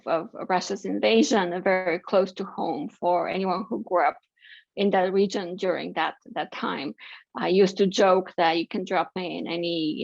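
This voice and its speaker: A woman's voice: lowish voice, creaky, no room sound, dull audio. Bulgarian-born woman lecturing over a video call. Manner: measured, many fillers and restarts. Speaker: guest lecturer, political science professor. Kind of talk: academic presentation.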